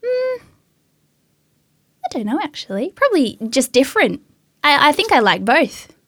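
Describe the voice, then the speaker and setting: Giggly young woman with a lowered voice. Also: wildly swooping pitch, normal speed, a bit bright, no room sound, clean studio sound. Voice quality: naturally high voice, consciously lowered. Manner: laughs often, self-deprecating, bubbly. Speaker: actress and writer guest. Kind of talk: casual interview chat.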